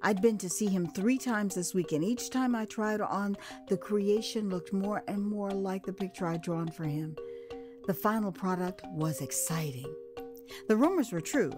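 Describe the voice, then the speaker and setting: An American woman narrating her own memoir as an audio drama, with theatrical, expressive delivery that savours the words. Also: mid-range voice. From United States.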